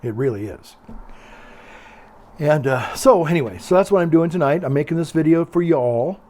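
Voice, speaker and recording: An older man with a calm, quiet voice, chatting casually in a meandering, hesitant way and often repeating himself. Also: on the high side, normal speed. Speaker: video blogger. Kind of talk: casual rambling monologue.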